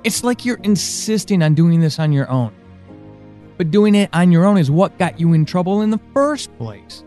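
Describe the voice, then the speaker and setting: Guy acting a worried earnest man in an audio drama, kinda high voice, halting and pleading, music under it. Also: animated, darkish tone. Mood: worried, urgent. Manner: halting, earnest, with pauses and emphatic swings. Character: anxious, pleading man reaching out to a lost loved one.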